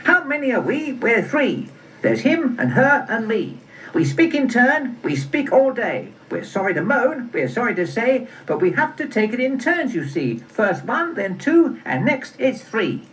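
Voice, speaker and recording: Woman voicing a high, singsong little fox cub. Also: big pitch swings, normal speed, hardly any pauses, a bit bright. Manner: singsong, repetitive, emphatic. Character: chirpy little fox cubs speaking in turn. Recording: roomy, distant, faintly noisy recording.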